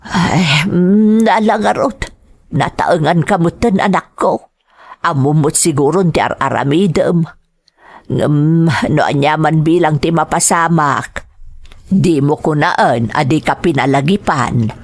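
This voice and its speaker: A woman's voice: deep voice, takes it slowly, slightly rough, full character voice. Casting: actress as older Filipino mother of a grown son, motherly, careful and hesitant, radio drama. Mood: resigned, advising. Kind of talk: Ilocano audio drama acting.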